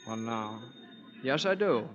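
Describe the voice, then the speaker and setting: An actor playing a wry young reporter who is tipsy on champagne in a 1940s radio play. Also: male voice, mid-range voice, lively intonation, takes it slowly, a bit bright, soft background music. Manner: drawling, tipsy. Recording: dull old radio broadcast.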